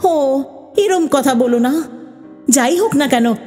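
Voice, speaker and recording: High-voiced caring royal companion. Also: female voice, expressive, takes it slowly, mellow, faint music behind. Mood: consoling. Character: caring, watchful female companion of a princess. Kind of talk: animated fairy-tale dialogue. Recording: long reverberant hall echo.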